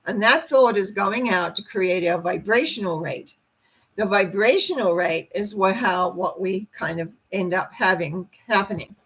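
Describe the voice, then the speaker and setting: An older woman with a hoarse, croaky voice, giving an informal spiritual talk to camera in a rambling, folksy way with asides. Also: medium pitch, unhurried, fairly bright tone, poor audio. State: hoarse after a treatment, clearing throat. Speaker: host, tarot reader and spiritual teacher.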